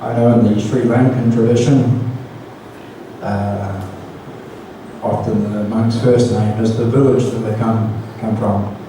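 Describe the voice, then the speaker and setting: Slow-speaking New Zealand man, very echoey room. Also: on the low side, flat delivery. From Auckland, New Zealand. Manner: hesitant, self-deprecating, light humour. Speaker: Buddhist monk giving a talk. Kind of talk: informal Dhamma talk to an audience. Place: community centre hall. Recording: distant mic, very echoey room, noisy.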